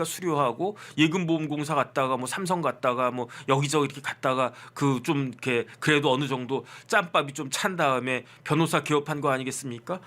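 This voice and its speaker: Middle-aged male lawyer-host, higher-pitched voice, wry commentary that poses questions and answers them. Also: fairly bright tone. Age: 50s. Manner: rhetorical questions answered himself, wry asides. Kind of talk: casual political commentary.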